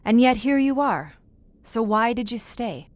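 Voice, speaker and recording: A woman's voice: fairly high pitch, animated, measured pace, no room sound. An actress playing an inquisitive, educated woman in an audio horror drama, articulate and formal as she probes for answers. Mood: probing. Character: inquisitive, educated woman questioning a veteran monster hunter. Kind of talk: theatrical audio drama acting.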